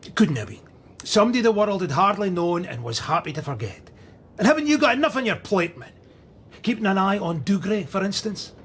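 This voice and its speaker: An actor playing an irritable senior police officer in a radio drama, with a Scottish accent and a gruff, scolding manner. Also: male voice, high-pitched, very animated, fairly quick, fairly bright tone. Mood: dismissive. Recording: faint background noise.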